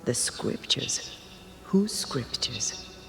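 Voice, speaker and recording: An actor playing a calm, loyal companion in a video game, steady and intimate in manner. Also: male voice, drawn-out words, soft background music. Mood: uneasy, questioning. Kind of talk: naturalistic game drama acting.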